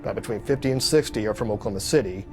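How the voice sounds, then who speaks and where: A man in an office gives a low, matter-of-fact explanation of survey numbers. A music bed plays clearly underneath. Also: rapid-fire. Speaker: city planner. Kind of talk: interview answers.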